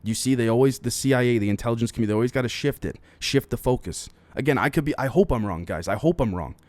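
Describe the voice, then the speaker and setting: A man's voice: medium pitch, fast, a little mellow, no room sound. A man hosting a solo podcast, running through news items with opinionated, speculative commentary and often speaking directly to his listeners. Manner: frequently addresses listeners directly. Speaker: podcast host.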